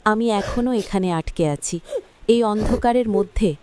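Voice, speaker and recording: A sorrowful ghost girl pleads from a cartoon horror tale, played by a woman. Her voice is mid-pitched and mournful, with clear noise around it. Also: measured pace, natural voice. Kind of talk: scripted cartoon dialogue.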